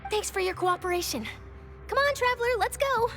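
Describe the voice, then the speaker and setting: A woman playing an eager, polite young female reporter with a chatty, expressive manner in an anime-style video game. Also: very high voice, fairly quick, music in the background. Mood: cheerful. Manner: bright-spirited, chatty, expressive.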